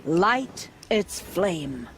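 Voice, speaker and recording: A stern, zealous priestess. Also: female voice, on the low side, very animated, drawn-out words, full character voice. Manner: formal, commanding, ritual address. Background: game music.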